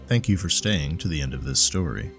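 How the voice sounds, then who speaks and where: Male narrator for scripted fiction, very low register, light music bed underneath. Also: lively intonation, brisk, fairly bright tone. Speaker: narrator and presenter. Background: faint music.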